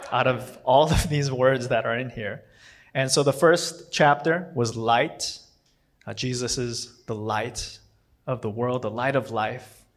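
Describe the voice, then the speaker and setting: A man, not a native English speaker, preaching a casual sermon in a rambling way, often stopping to correct himself. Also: mid-range voice. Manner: rambling, self-correcting, with frequent fillers and restarts.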